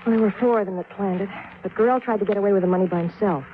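Actress as fast-talking desperate streetwise woman. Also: mid-range voice, a little hiss or hum, lightly acted. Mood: anxious, pleading. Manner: pleading, urgent, streetwise. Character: desperate, defensive small-time woman mixed up in crime. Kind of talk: 1950s radio crime drama acting. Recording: thin phone-like 1950s radio recording.

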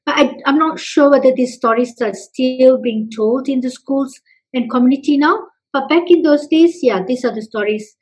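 A woman from Malaysia, answering interview questions with many pauses and restarts. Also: high-pitched, low-quality recording. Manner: hesitant, many fillers and restarts. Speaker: festival representative guest.

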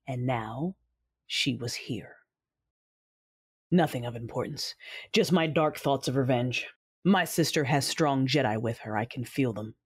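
A man's voice: mid-range voice, measured pace, long pauses, slightly rough, no echo, clean studio sound. Cold menacing male narrator. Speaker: first-person narrator and dark side commander. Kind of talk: audio drama narration and acting.

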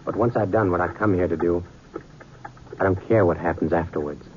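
An actor playing a vengeful, threatening American gunslinger with rough, taunting rural speech in a 1950s Western radio drama. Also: male voice, sits low, fairly quick, darkish tone, close to an everyday voice. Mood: smug threat. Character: menacing vengeful male gunslinger, coldly threatening. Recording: noisy, narrowband 1950s radio recording.

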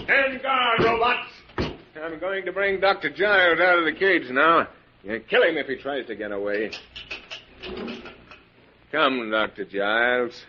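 An actor playing an imperious, murderous mad professor in a theatrical, commanding 1940s radio style. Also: male voice, fairly high pitch. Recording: muffled, noisy 1940s radio recording.

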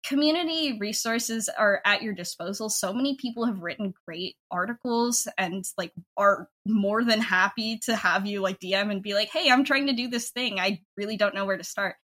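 Bright-voiced, effusive woman chatting informally. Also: mid-range voice, moderate pace, few pauses. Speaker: podcast guest, audio drama creator. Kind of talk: casual interview chat.

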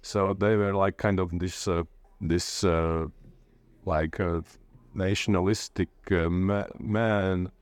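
A man with a low voice and a Latvian accent speaks informally as an interview guest. He is hesitant and often searches for the next word. The sound is dry, with very little room in it. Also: fairly even intonation, clear, resonant tone, dry recording. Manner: hesitant, halting, groping for words. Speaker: interviewee, playwright and literature lecturer.

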